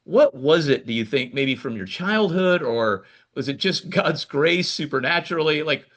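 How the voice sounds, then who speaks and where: A man's voice: fairly high pitch, animated, moderate pace, fairly bright tone. Rambling male podcast host. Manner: rambling, restarts often. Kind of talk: casual interview chat.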